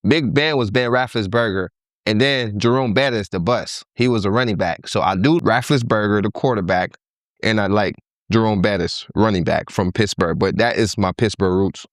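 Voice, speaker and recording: Podcast guest, man in his thirties, from Liberia, lower voice, laid-back, dry sound. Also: moderate pace, no room sound. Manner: laid-back storytelling, laughs easily. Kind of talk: casual interview conversation.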